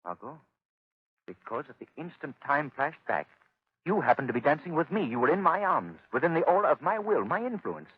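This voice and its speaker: An actor playing a calm, commanding gentleman crime-fighter in 1930s radio drama style, speaking gravely and deliberately. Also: a little high. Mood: grave, explaining. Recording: muffled 1930s radio broadcast recording.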